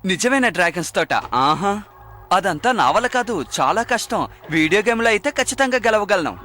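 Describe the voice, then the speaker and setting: A man voicing a cocky, playful sixteen-year-old boy in a dubbed animated series, with a casual, protesting manner. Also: high-pitched, fairly quick, soft background music. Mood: casual, reluctant. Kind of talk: animated series dub acting.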